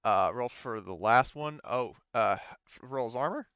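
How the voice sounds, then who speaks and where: Bright-voiced man running a tabletop game. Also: medium pitch, lively intonation, a little slow, clean tone, dry recording, lo-fi sound. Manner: hesitant, thinking aloud while checking rules. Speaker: game master. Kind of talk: casual tabletop roleplaying game narration.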